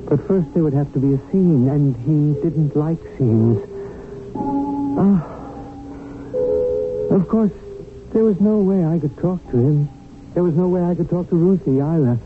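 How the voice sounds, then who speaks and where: Actor as an earnest, high-voiced guardian angel. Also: male voice, lightly acted. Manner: earnest, proper, fretful. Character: earnest, anxious male guardian angel, loyal and proper. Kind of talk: radio drama acting and first-person narration. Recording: tinny radio broadcast with music.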